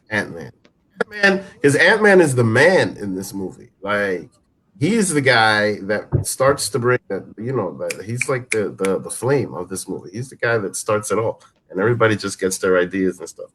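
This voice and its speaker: A man's voice: on the low side, a bit bright, a little hiss or hum, poor audio. A man giving casual opinions as a review panelist, rambling and repeating his phrases. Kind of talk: casual movie review discussion.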